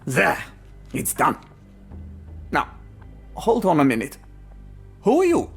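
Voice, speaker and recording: Husky, creaky, befuddled male asylum patient. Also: fairly high pitch, huge pitch swings, slow, fairly bright tone, faint music behind, exaggerated voice. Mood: eager, muddled. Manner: polite, hesitant, bewildered. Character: befuddled, amnesiac asylum patient, a former professor. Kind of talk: video game voice acting.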